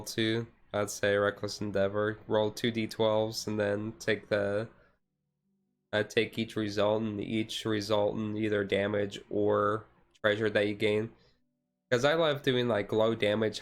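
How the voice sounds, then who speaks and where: A man streaming a casual, laid-back ASMR chat in a rambling way, with music behind him. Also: fairly low pitch.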